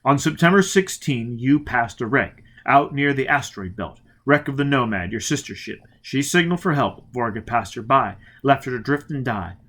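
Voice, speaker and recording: A man's voice: medium pitch, animated, moderate pace, few pauses, a bit bright. A man reading a story and voicing a brutal, driven spaceman who barks clipped, demanding lines. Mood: demanding, hard. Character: brutal, driven male spaceman interrogating with growling menace.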